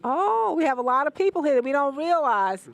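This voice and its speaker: A woman's voice: sits high, big pitch swings, brisk, creaky. A woman interviewing guests at an event as the host, repeating their answers aloud for the audience.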